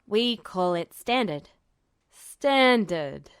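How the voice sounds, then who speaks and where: Woman putting on a cold, stern male general, pitched down. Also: drawn-out words, smooth, dry recording, clean studio sound. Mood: imperious, suspicious. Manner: clipped, imperious, coldly calm.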